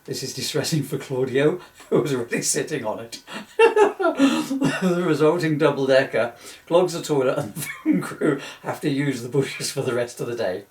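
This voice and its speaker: A man's voice: mid-range voice, very animated, normal speed. Male reader for comic parody book, loose and rambling, easily amused, recorded off-mic in an echoey room. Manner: rambling, often breaking into giggles at own jokes. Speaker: author reading his parody book. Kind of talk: informal comic book reading with asides. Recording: roomy echo, distant microphone.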